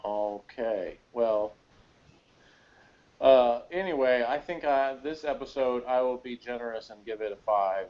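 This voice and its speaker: A man's voice: medium pitch, measured pace, darkish tone, lo-fi sound. A man chatting casually as a review co-host, rambling and hesitant, with lots of informal filler phrases. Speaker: podcast co-host reviewing a TV episode.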